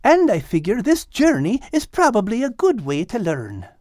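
A man's voice: sits high, wildly swooping pitch, moderate pace, darkish tone, dry recording, clean studio sound, pitched above the performer's own voice. A man voicing a simple, good-hearted male cat in an earnest, folksy manner, in an audiobook. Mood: eager, earnest. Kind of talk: audiobook character acting.

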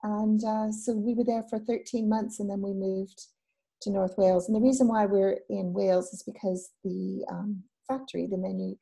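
A middle-aged Canadian woman talks easily as a guest, her voice mellow and warm. She rambles a little, with ums and restarts, and keeps the tone relaxed. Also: medium pitch, normal speed, creaky, slightly rough, poor audio. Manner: relaxed, chatty, laughs often, many ums and restarts. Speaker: guest entrepreneur. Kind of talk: casual interview chat.

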